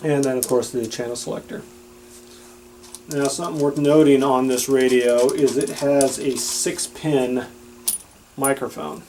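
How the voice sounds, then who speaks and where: A man's voice: medium pitch, steady tone, measured pace, darkish tone, slight background noise, rough recording. A man giving a casual, plain-spoken and explanatory product demonstration.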